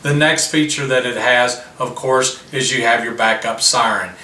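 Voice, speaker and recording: A man walks through a product demonstration, explaining each control step by step in a bright, forceful voice. He sounds a little off the mic, in a roomy, echoing space with a faint hiss underneath. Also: mid-range voice, fairly even intonation, normal speed, distant mic. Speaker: product presenter.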